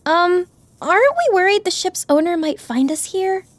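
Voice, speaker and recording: A nervous, curious girl in a sci-fi audiobook. Her voice is extremely high and swings around in pitch, hesitant yet excitable, recorded very dry. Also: normal speed, no room sound. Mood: nervous, questioning. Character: nervous, curious girl tagging along on adventure. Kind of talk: audiobook character acting.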